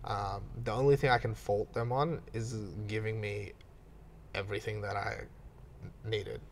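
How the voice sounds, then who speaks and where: A young man in his late twenties, talking openly on a podcast with a gravelly, fry-edged voice that sits fairly low. He speaks frankly, with easy self-mocking humor. A faint ambient hiss hangs behind him. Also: fairly even intonation. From Australia. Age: 20s. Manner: candid, quick to laugh at himself. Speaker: podcast guest, gym owner. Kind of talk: casual podcast chat.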